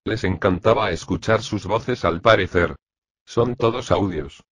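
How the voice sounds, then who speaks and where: A man's voice playing a methodical older police forensic doctor, explaining formally and in measured phrases in a crime drama. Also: lowish voice, fairly bright tone, husky, no echo. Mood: clinical. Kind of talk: scripted machinima crime drama dialogue.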